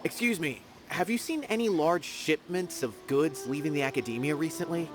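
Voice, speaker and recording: A man's voice: a little high, moderate pace, music in the background, natural voice. A man playing a loyal, earnest and polite young investigator in a video game. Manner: earnest, emphatic.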